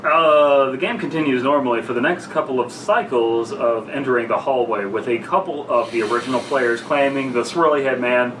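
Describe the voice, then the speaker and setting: A man's voice: medium pitch, fairly quick, hardly any pauses, fairly bright tone. A young man, a podcast co-host, playfully bantering and reading a story aloud in a goofy way. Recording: echoey noisy room, distant mic.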